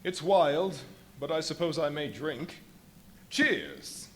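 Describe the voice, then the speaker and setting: A man's voice: fairly high pitch, expressive, takes it slowly, fairly bright tone. A man in a live radio play, playing an irritable, ravenous, worn-out and grandiose invisible fugitive scientist, with a theatrical, imperious delivery. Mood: satisfied, scheming. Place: live performance at a brewery venue. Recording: live stage recording with faint noise.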